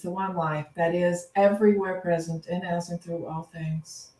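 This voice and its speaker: A woman's voice: mid-range voice, darkish tone. Woman praying, roomy and distant. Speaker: reverend leading a service. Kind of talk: spoken invocation prayer. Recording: roomy echo, distant mic.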